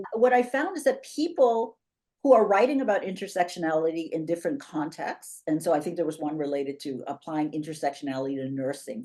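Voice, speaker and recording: A woman in her fifties with a notably low voice speaks as an interview guest. She is articulate and animated, talking over a webcam from a home office on a video call. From Bahamas. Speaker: guest, professor and institute founder. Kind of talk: conversational interview answers.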